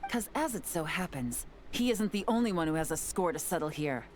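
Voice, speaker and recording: A woman's voice: lowish voice, lively intonation, brisk, darkish tone, a little hiss or hum, close to an everyday voice. An actress playing a cool, confident woman investigator in a video game, poised, teasing and theatrical, with a dry menacing edge. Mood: smug, teasing.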